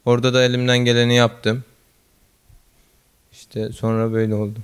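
A teenage young man answering interview questions in short, modest replies, speaking into a handheld mic in a school office. His delivery is flat and unvaried, and his voice comes through very smooth and clear. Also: mid-range voice, moderate pace. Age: teens. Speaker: student athlete. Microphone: handheld mic held by interviewer.

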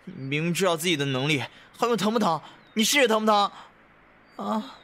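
A male actor playing a feisty, touchy but soft-hearted young man in a Chinese costume audio drama. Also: high-pitched, lively intonation, fairly quick. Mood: indignant, pained.

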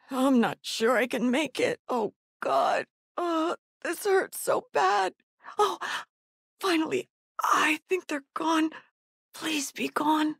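A woman playing a frightened, hurt mother who searches desperately for her lost son, muttering haltingly to herself as in a survival horror game. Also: high voice, creaky, dry recording, studio quality, full character voice. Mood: anxious.

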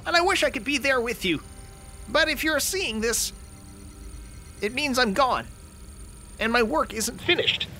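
A man's voice: very high voice, expressive, measured pace, pauses often, sharp tone, a little hiss or hum, heavily acted. A man voicing a loving middle-aged inventor father, tender and earnest in a recorded message.

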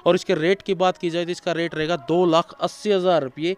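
A man in his thirties, a car dealer outdoors on a lot, briskly listing each car's details and price into a handheld mic. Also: high voice, level tone, clear, resonant tone. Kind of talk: sales pitch.

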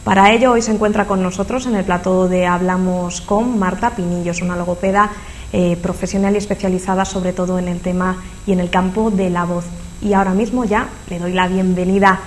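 A woman's voice: fairly low pitch, steady tone, a little fast, slight background noise. A young woman with a Spanish accent hosting a local TV interview, formal and scripted, with hesitant fillers. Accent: Spanish from Spain. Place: local TV studio.

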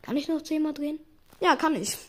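A hoarse young woman giving casual gaming commentary, excitable and yelping at results, slipping into a raspy near-whisper when she talks quietly. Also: high-pitched, brisk, background noise. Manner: excitable, shrieks and groans at spin results. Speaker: gaming YouTuber.

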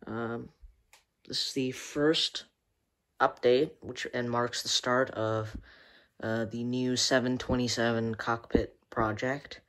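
A man casually showing a homemade build on a handheld phone camera in a room at home, talking in a hesitant, soft-spoken way. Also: medium pitch, fairly even intonation, takes it slowly. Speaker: hobby channel host. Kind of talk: casual show-and-tell vlog.

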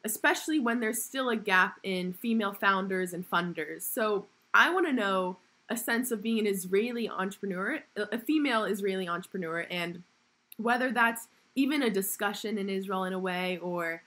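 A woman's voice: medium pitch, moderate pace, pushed and bright. A woman hosting a podcast interview, asking long questions and affirming her guest often. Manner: affirming, frequent backchannels. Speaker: podcast host. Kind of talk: conversational interview.

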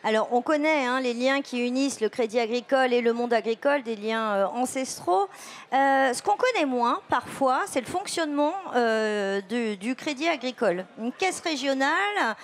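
Fifties woman asking questions at a fair, highish voice, handheld mic, faint hum behind. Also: animated, moderate pace. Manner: friendly, chatty, with "hein" and fillers. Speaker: interviewer. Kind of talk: casual interview questions. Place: outdoor stand at an agricultural fair, on a sofa. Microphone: handheld microphone.

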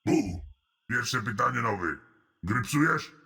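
A sleazy cartoon cellmate with a gravelly, husky rasp and a bright, sharp edge. He talks in a deadpan, mock-friendly way that makes every line sound like a threat. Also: medium pitch, takes it slowly, heavily acted. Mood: menacing. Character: sleazy menacing male prison cellmate, creepy. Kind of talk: animated comedy voice acting.

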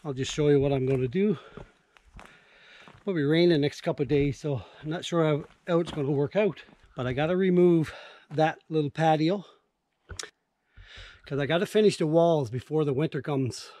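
A man's voice: a little high, moderate pace, long pauses, mellow. An older man from Newfoundland with a local accent, talking plainly through his cabin chores into a handheld camera outdoors. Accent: Newfoundland English. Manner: plain, matter-of-fact explaining of chores. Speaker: outdoor vlogger. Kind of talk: casual vlog narration. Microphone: action camera held at arm's length.

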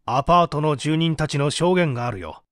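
A man's voice: a little high, lively intonation, brisk, a bit bright, no echo, clean studio sound. A man playing a stern, relentless prosecutor with an authoritative, pressing manner in a dramatised mystery reading. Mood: probing, measured. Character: stern male prosecutor, relentless, accusing interrogator. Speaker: audiobook reader.

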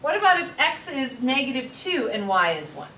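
A woman's voice: high voice, big pitch swings, moderate pace, pushed and bright. A woman math teacher lecturing a class at a whiteboard, explaining step by step and quizzing students, recorded from far off in a classroom. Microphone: far camera. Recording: echoey classroom from far off, faint noise.

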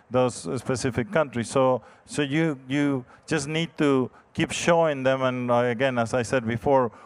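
A middle-aged man answering on a conference panel into a handheld mic, measured and hesitant, with many restarts. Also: medium pitch, clear, resonant tone. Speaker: real estate developer panelist. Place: large conference stage.

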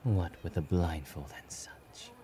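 A man voicing a stoic, quietly kind young demon-slaying hero in a soft, breathy romantic ASMR roleplay. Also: low-pitched, wildly swooping pitch, measured pace, exaggerated voice. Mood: quietly reassuring. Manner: aloof, terse, gently reassuring.

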